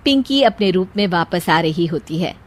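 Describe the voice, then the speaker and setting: A woman's voice: lowish voice, animated, normal speed. A woman narrator reading a scripted story.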